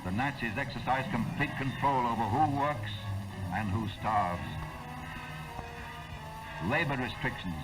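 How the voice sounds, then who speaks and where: American man, mid-pitched voice, solemn emphatic delivery, scripted 1940s war propaganda narration, vintage film soundtrack, strong musical backing. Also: unhurried, long pauses, music in the background, rough recording.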